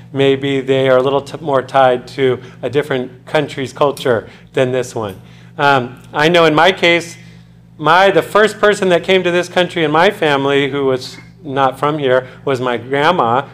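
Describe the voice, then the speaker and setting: A man's voice: mid-range voice, a bit bright. A man from the United States giving a conversational classroom lecture, often putting questions to his students. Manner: conversational, poses questions to students, loose with fillers. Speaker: college lecturer.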